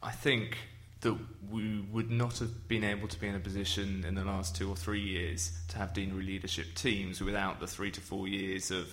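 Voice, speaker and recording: A man chairing a church conference session, speaking in a measured, thoughtful way. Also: sits low, little pitch movement, fairly bright tone. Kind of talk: chairing a discussion.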